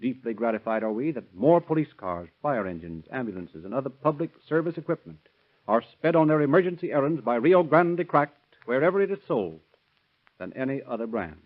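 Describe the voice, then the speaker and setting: A man's voice: mid-range voice, very animated, a little fast. Formal male radio announcer, tinny vintage sound. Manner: formal, declamatory. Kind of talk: 1930s radio sponsor announcing, scripted. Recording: tinny, band-limited 1930s radio recording.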